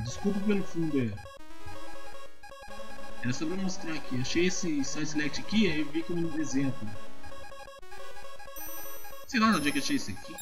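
A Brazilian man giving a casual, informal screen-recorded software tutorial, often checking that the listener follows. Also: on the high side, low-quality recording. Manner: informal, repetitive check-in phrases. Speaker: tutorial maker. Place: indoors at a computer.

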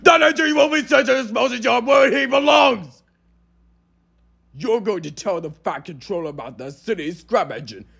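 Man voicing a raspy, pompous cartoon steam engine. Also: very high-pitched, lively intonation, moderate pace, fairly bright tone, heavily acted. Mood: indignant. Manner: pompous, theatrical, sneering. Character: boastful, scornful silver steam engine, male, pompous rival. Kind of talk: amateur cartoon voice audition.

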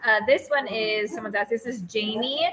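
A cheerful woman in her thirties hosts a livestream from inside a busy shop. Her voice sits a touch high, and she chats easily with a guest while reading out viewers' comments. Customer voices carry clearly in the background. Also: moderate pace, crowd noise, rough recording. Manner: bubbly, reads viewer comments aloud. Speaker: livestream host. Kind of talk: casual livestream chat. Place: busy wig shop studio.

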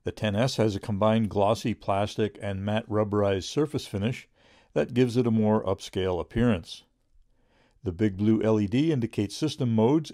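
A middle-aged man reading a scripted product review in a measured, informative way. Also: lowish voice, dark tone, no room sound, studio quality.

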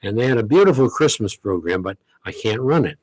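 A man's voice: lowish voice, lively intonation, brisk, a little mellow, no room sound, rough recording. An older man, a longtime radio host, chatting in a radio studio and telling anecdotes with small chuckles. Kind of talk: relaxed reminiscing studio talk. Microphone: studio mic, headphones. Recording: dry close studio sound.

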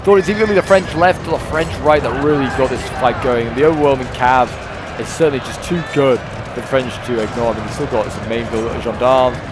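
A man commentating a game battle replay in a casual, rambling way, his voice a bit hoarse from a cold. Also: medium pitch, fairly quick. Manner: rambling, with frequent fillers and restarts. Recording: loud game music underneath. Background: loud game battle music and gunfire.

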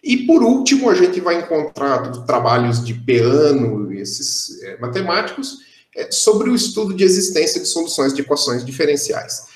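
A young man gives a math lecture over a video call. He explains steadily and fills his pauses with "é". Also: fairly high pitch, echoey room. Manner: explanatory, steady, with frequent "é" fillers. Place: indoor room, on a video call.

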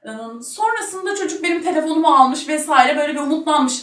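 A young woman with a distinctly high-pitched voice chats casually and tells stories with giggly animation. She sits back from the microphone in a small, echoey room. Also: fast talker. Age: young adult. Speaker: talk show guest. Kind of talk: casual chat and anecdotes. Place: small studio room at a table. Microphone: shared table microphone. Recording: roomy, distant microphone.

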